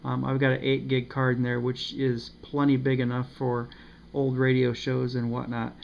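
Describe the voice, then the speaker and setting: Hesitant gravelly man reviewing a radio. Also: mid-range voice, completely flat delivery, moderate pace. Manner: hesitant, many ums and uhs. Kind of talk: casual product review. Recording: slightly dull with faint noise.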